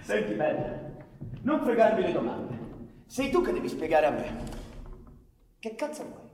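A man's voice: high voice, big pitch swings, unhurried, darkish tone. A man playing a brash, hot-headed outlaw, crude and mockingly defiant, in a theatrical radio drama. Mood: menacing. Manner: sardonic, swearing, theatrical. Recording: roomy echoing stage sound, distant, faint noise.

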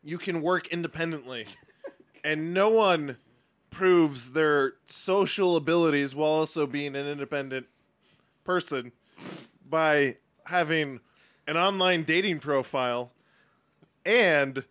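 A man improvising playful pitches in a party game on a podcast. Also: measured pace, pauses often, a bit bright. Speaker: podcast co-host.

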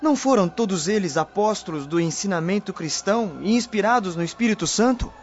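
An earnest believer asking questions, his voice sitting a little high. The recording is dull, with soft music behind. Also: expressive, fairly quick. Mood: inquiring. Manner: inquiring. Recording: dull lo-fi audio with faint music.

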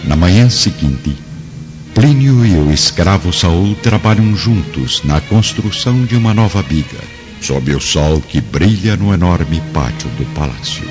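A man's voice: deep voice, animated, unhurried. A man narrating a radio drama in a dramatic, scripted style. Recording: muffled radio drama under loud music.

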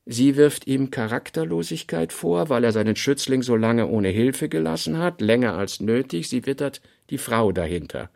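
A man reading scripted literary notes for a radio play, in measured, fragmentary phrases. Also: medium pitch, expressive, vocal fry, darkish tone. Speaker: reader of a writer's working notes.

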